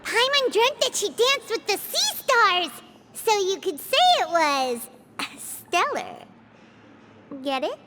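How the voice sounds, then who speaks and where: A woman voicing a tiny, bubbly female game companion who talks about herself in the third person, with a high, squeaky, childlike voice. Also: very animated, slow pace, bright, forceful tone, slight background noise, heavily acted. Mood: playful. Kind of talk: video game voice acting.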